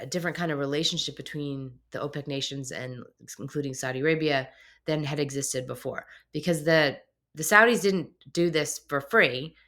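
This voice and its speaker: A woman hosting an explainer show, talking in a conversational, hedging way, a little hoarse and raspy from a cold. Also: deep voice, fairly bright tone. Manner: conversational, hedging with filler phrases. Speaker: host. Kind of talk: explainer talk to camera. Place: home office.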